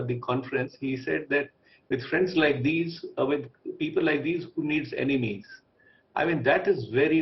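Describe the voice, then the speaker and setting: A man with an Indian accent commenting on a live TV debate as a diplomat panelist, emphatic, with halting phrasing. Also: on the high side, dull audio. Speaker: former ambassador as panelist.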